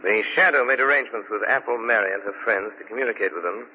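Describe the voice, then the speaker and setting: A man playing a shrewd, composed gentleman sleuth in a 1930s radio drama. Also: lowish voice, quick pace, close to an everyday voice. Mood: grave, composed. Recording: muffled, noisy 1930s radio broadcast.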